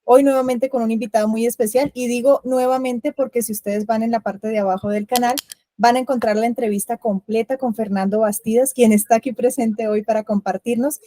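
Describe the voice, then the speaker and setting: A woman hosting an interview, speaking in a measured, explanatory way as she welcomes her guest. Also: fairly high pitch, steady tone, mellow. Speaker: host.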